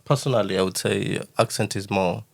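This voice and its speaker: A man talking as a guest in a casual podcast chat, measured and searching for his words. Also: lowish voice, vocal fry.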